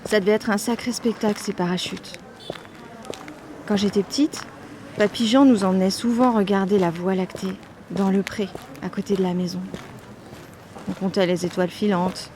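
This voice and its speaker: Casting: woman as wistful granddaughter, mellow mid-pitched voice, conversational, faint ambient noise. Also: steady tone, normal speed, lightly acted. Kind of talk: audio drama acting, conversational.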